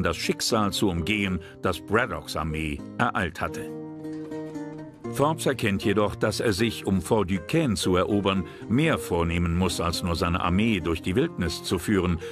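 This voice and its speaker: A man reading scripted historical documentary narration in a measured, dramatic storytelling manner. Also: fairly low pitch. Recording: prominent music behind narration.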